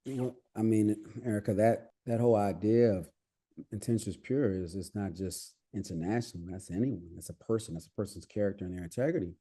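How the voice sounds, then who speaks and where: Deep, husky-voiced man giving advice. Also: fairly quick, mellow. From United States. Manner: reflective, advice-giving, with frequent restarts. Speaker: podcast guest. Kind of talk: casual interview answers.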